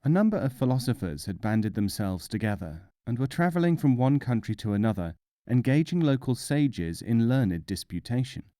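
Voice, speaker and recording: A man narrating folk tales as an audiobook reader, in a measured, expressive storytelling manner. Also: mid-range voice, no room sound, clean studio sound.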